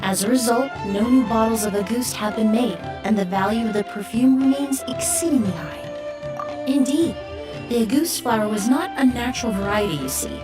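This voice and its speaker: Casting: actress as a poised, principled young female perfumer for a fantasy game, high voice, courteous and composed, music underneath. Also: expressive, far from the mic, close to an everyday voice. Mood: measured explaining. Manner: measured, polite, refined. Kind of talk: anime game story dialogue.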